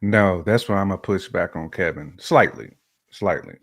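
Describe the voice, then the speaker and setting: A man's voice: low-pitched, normal speed, a bit bright, breathy edge, no echo, clean studio sound. A man co-hosting a talk show, speaking casually and colloquially about his opinions and repeating phrases for emphasis.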